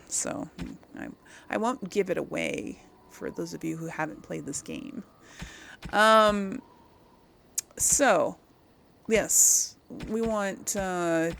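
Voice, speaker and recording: A woman's voice: on the low side, vocal fry. A woman giving casual playthrough commentary as host of a gaming video, chatty and thinking aloud.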